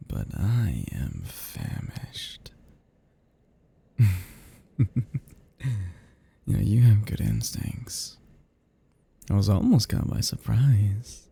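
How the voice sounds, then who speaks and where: A very dark, velvety-toned male vampire, performed by a man for roleplay ASMR, murmuring softly and slowly with a gravelly creak. He is seductive and possessive, teasing his prey with playful menace and swinging expressively in pitch. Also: heavily acted. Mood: hypnotic, commanding. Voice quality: low, soft, breathy murmurs dropping into whispers. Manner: teasing, slow, lingering, chuckling between phrases. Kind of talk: intimate roleplay ASMR monologue.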